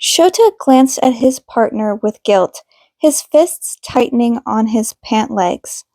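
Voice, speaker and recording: A woman's voice: on the high side, lively intonation, slow, dark tone, no room sound. A woman reading scripted fiction aloud as the narrator of an amateur audiobook. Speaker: podfic reader.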